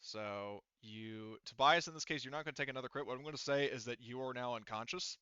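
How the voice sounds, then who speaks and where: A man's voice: mid-range voice, brisk, pushed and bright, smooth, dry recording, lo-fi sound. A man acting as game master, casually narrating a tabletop game and explaining rules while thinking aloud.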